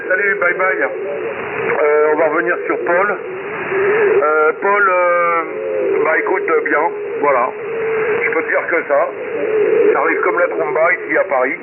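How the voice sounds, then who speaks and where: A man's voice: on the high side, sing-song, a little slow, long pauses. A man talking informally and chattily as an amateur radio operator over a shortwave radio transmission. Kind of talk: casual amateur radio chat. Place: Paris, radio station. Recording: distant, muffled, very noisy shortwave radio.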